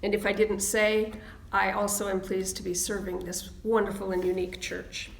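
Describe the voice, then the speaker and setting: A woman minister welcoming people to an online service and reading opening words slowly and reverently. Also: medium pitch, flat delivery, creaky, echoey room, slight background noise.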